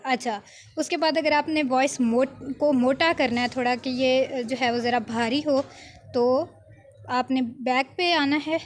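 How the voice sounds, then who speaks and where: A woman giving an informal software tutorial, explaining step by step with frequent check-in tags. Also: high-pitched, measured pace, noisy background. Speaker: video editing instructor.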